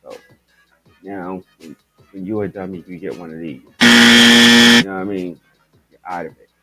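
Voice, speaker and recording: A man hosting his own podcast, his voice raspy and stuffed up, chatting loosely and rambling as he talks straight to his listeners about the news. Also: sits low, unhurried, loud music behind the voice. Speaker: podcast host. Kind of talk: casual commentary on news stories.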